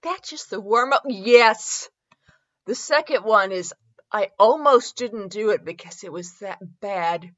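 Female comedy podcast reader, very animated pitch, measured pace, giggly, mock-dramatic, prone to asides; slightly muffled lo-fi recording. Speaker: podcast host reading fanfiction.

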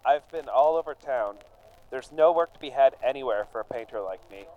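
A man's voice: mid-range voice, expressive, brisk, highs cut off, lightly acted. An actor playing an earnest, defensive immigrant painter down on his luck in a radio drama. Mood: pleading. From England. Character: John Terse, struggling English immigrant painter, earnest and defensive.

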